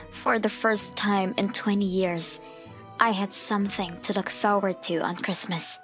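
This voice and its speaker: A woman's voice: medium pitch, fairly even intonation, measured pace, a bit bright, close to an everyday voice. A woman playing an expectant mother who narrates her own past in a scripted comic dub, over music. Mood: reflective, hopeful.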